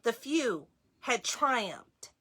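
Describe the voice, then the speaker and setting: Bright-voiced woman reading aloud, unhurried. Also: a little high, very animated. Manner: slow, clearly phrased reading, addresses young listeners directly. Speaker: story time host reading aloud. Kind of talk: children's read-aloud with casual asides.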